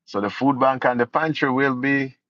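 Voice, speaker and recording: A man's voice: medium pitch, normal speed, a bit bright, no echo. A Jamaican man giving casual political commentary, indignant and sarcastic. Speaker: vlogger. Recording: dull lo-fi dry sound.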